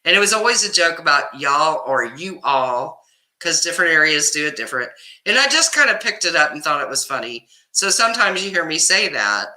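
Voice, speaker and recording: A woman's voice: deep voice, sing-song, a little fast, sharp tone, roomy sound, poor audio. A woman from Kansas with a Kansas accent, hosting a casual live stream, reading viewers' comments and answering them by name, often laughing. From Kansas, US Midwest. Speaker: livestream host reading chat.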